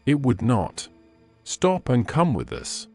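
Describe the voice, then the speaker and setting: A man's voice: medium pitch, sing-song, slow, a little mellow, faint music behind, exaggerated voice. A man voicing a simple, easygoing village cowherd in plain, matter-of-fact storybook dialogue. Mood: unconcerned.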